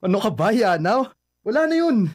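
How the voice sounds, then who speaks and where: An actor playing a lovesick young ex-boyfriend who dodges questions and laughs things off in a radio drama. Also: male voice, high-pitched, sing-song, moderate pace, no room sound, studio quality. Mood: evasive. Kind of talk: Filipino radio drama acting.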